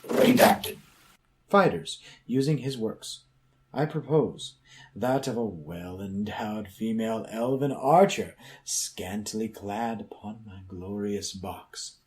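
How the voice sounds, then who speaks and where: A man's voice: on the low side, slow pace, a little mellow. A man playing an omnipotent, quirky supercomputer in a comic radio drama, speaking in pompous, convoluted and oddly formal sentences. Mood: pompous, instructive.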